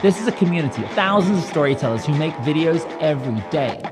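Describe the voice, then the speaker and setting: Promo video narrator, lively up-and-down delivery, loud music behind. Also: medium pitch, fast talker. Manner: punchy, upbeat delivery.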